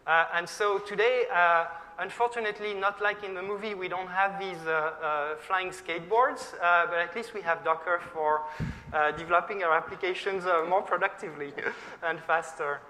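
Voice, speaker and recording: A man's voice: high-pitched, moderate pace, few pauses, pushed and bright. A middle-aged man from France with a French accent, giving a friendly, chatty conference talk with many fillers and questions to the audience. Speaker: conference speaker. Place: conference hall. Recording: reverberant hall with faint background noise.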